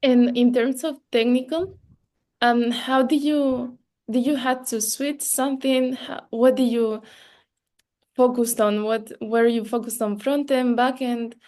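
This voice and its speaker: A woman hosts a career podcast, her voice sitting a little higher than most. She asks her questions in loose, rambling phrasing, rewording as she goes. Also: fairly even intonation, a little slow, darkish tone. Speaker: podcast host. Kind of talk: interview questions.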